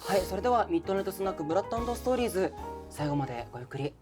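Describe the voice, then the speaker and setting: Fast, animated male podcast host. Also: high voice, over loud music. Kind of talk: casual bantering podcast chat with polite hosting.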